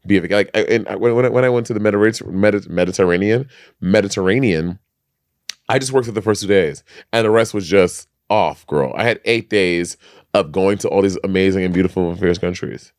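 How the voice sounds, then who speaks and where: A man co-hosting a podcast in casual chat, animated and campy, who swears freely. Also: sits low, fairly quick, no echo, clean studio sound. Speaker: podcast co-host.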